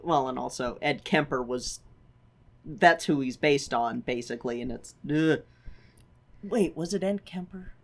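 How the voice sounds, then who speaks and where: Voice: mid-range voice, measured pace. A podcast co-host in casual conversation, reacting and interjecting with informal, wry asides.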